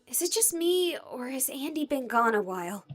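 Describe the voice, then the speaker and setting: Excitable young female game streamer-sleuth played by an actress: high, swooping, theatrical, emphatic. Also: normal speed, soft background music. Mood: puzzled. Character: excitable young woman streamer investigating a haunted hospital murder. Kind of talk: voiced game character dialogue.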